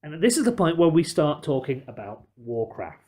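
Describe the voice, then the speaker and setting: A man hosting a podcast, talking in a wordy, measured manner with wry turns of phrase. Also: on the high side, very animated, warm tone. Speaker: podcast host. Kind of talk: discursive podcast commentary.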